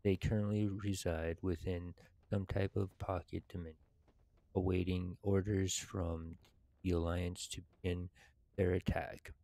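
A man in his thirties with a low, creaky voice hosts a gameplay video. He reads and comments in a halting, unhurried way, with little rise or fall in his tone. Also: little pitch movement, dark tone, no echo. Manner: measured, halting reading. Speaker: gaming video host reading quests aloud. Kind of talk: reading game text aloud, casual gameplay commentary. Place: indoors at a computer.

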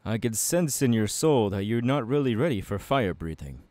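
A man playing a confident, fiery anime swordsman mentor in an ASMR audio roleplay, talking to the listener with measured, dramatic pauses. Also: mid-range voice, very animated, darkish tone, no room sound, close to an everyday voice. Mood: welcoming, instructive. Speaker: voice actor.

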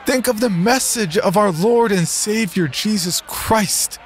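A man's voice: high voice, expressive, a little slow, some chatter behind, pitched above the performer's own voice. A man voicing a pious, half-blind settler who earnestly pleads for peace. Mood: pleading.

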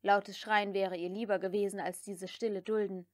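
A woman's voice: mid-range voice, little pitch movement, normal speed, no room sound, studio quality. A woman reading a novel aloud as scripted audiobook narration.

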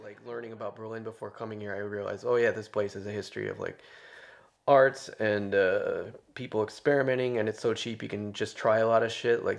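A male comedian musing in a flat, steady way during an interview. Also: fairly low pitch, gravelly. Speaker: stand-up comedian. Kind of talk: documentary interview.

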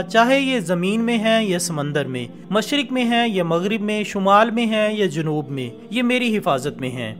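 A man reading scripted documentary narration in a formal, measured storytelling manner. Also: high voice. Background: background music.

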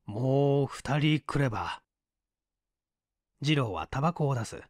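Expressive male storyteller with a lively range. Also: medium pitch, takes it slowly, no room sound, clean studio sound. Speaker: audiobook narrator. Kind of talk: scripted narration of mystery fiction.

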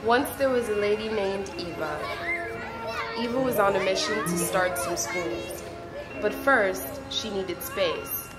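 A woman's voice: medium pitch, unhurried, over a noisy crowd. A woman reading a scripted narration in the style of a storybook. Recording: echoey, music underneath. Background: music.

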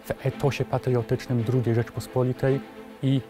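Man, thirties, formal documentary commentary, middle pitch, distinct background music. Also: flat delivery, normal speed.

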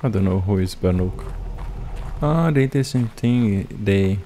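Deep-voiced man commenting on gameplay. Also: lively intonation, slight background noise. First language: Portuguese. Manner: chatty, halting English with restarts. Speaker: gameplay host. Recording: voice-over with loud game music.

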